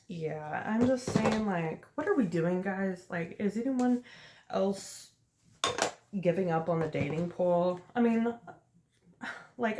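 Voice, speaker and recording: A woman's voice: medium pitch, a little mellow, reverberant. A woman in her late twenties rambling casually to the camera while doing her makeup, chatty and laughing often. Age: late 20s. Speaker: vlogger. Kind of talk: casual rambling get-ready-with-me chat.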